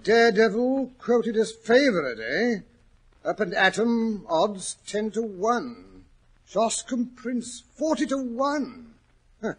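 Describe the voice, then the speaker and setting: An actor playing a genial, easily puzzled older English gentleman doctor in a 1940s radio drama, chatty and a little hesitant. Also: high-pitched, sing-song, takes it slowly, full character voice. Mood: distracted, puzzled. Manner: hesitant, chatty, old-fashioned exclamations. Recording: muffled, rough 1940s radio recording.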